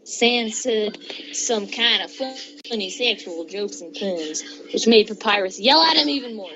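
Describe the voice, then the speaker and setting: A woman's voice: medium pitch, animated, measured pace, music in the background. A young woman reading fan fiction aloud to friends, giggly and stumbling over words, with joking asides. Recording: dull lo-fi mic, clear chatter around. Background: friends chattering and laughing.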